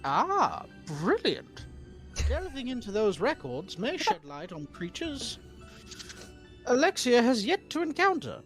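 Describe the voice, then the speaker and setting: A man voicing a cheerful, keen character from a fantasy game with a bright, eager delivery. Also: very high-pitched, wildly swooping pitch, slow, soft background music, voice pushed up, full character voice.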